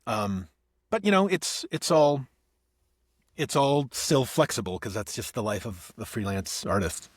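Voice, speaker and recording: A man, an actor and audiobook narrator, talking as a podcast guest, thinking aloud with restarts and soft laughs. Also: medium pitch, lively intonation, measured pace, slightly rough, no room sound, studio quality. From Northeast Ohio. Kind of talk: conversational podcast interview.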